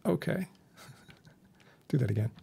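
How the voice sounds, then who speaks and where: Guy walking thru software, chatty n wry, really gravelly and husky. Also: medium pitch, expressive, unhurried. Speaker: instructor. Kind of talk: informal software tutorial. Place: indoors, voice-over for a screen recording.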